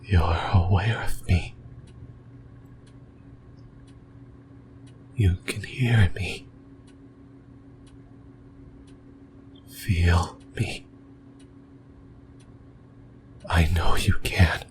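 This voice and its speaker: A man performs a possessive, yearning demon who whispers right up at the listener's ear. The voice is very low and breathy, drifting through halting, intimate musings at a very slow pace. Clear background noise sits under this creepy ASMR roleplay. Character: possessive, creepy male sleep paralysis demon, yearning and lonely. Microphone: close microphone.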